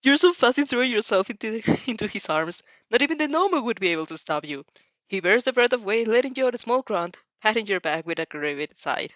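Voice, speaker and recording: A woman reading a story aloud in an expressive, amused way, sometimes stumbling and rereading phrases. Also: on the high side, fast talker, no room sound, muffled recording. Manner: giggly, stumbles over phrases and rereads them. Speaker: fan fiction reader reacting as she reads.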